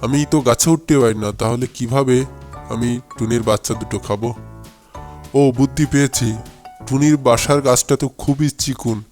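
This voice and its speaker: A man acts out a greedy, wicked fox from a children's cartoon. He taunts and bullies with gloating, commanding relish in a mid-pitched male voice. Music plays behind him. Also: normal speed, a little mellow, lightly acted. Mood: scheming. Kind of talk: cartoon fable voice acting.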